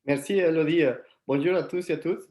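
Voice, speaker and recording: A man from Mexico with a Mexican Spanish accent introduces his community on a webinar, speaking slowly and carefully. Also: on the high side, fairly even intonation, a little mellow, roomy sound. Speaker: community founder.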